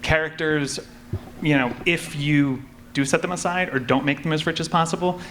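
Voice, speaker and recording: A man speaking as a panelist, explaining his creative craft in a measured way, thinking aloud as he goes. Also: a little high, fairly even intonation, a bit bright. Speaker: panelist, audio drama creator. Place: convention panel room. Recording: noisy live convention room.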